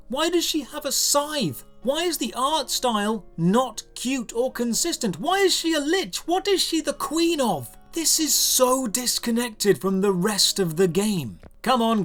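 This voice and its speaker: A man's voice: very high voice, fairly bright tone. A man narrating a scripted video game review in a dry, sarcastic, exasperated manner.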